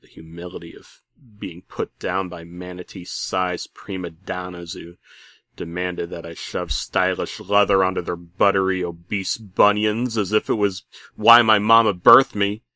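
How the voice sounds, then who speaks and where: A man playing a bitter middle-aged working dad who narrates a comic horror story with theatrical, aggrieved deadpan. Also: deep voice, a little slow, no room sound. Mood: disgusted. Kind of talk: comedic creepypasta narration.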